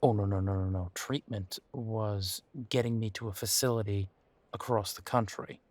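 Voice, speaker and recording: A man playing a bitter, wry young werewolf in an audio roleplay, talking haltingly and sardonically as if in intimate one-sided conversation. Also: lowish voice, fairly even intonation, a little mellow, no echo, lightly acted. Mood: sarcastic. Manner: halting, sardonic, conversational with sighs and repeated words.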